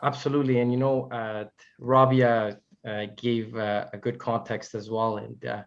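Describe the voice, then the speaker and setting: A man whose native language is Farsi, answering earnestly on an online panel, haltingly and often repeating his phrases. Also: medium pitch, level tone, noisy background. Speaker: panelist, family advocate. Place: online video call. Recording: dull, lo-fi call audio.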